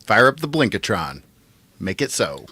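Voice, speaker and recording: A man's voice: fairly low pitch, big pitch swings, normal speed, bright, forceful tone, slightly rough, no echo. A man co-hosting a podcast with casual, playful banter and a mock-dramatic streak. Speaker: podcast co-host.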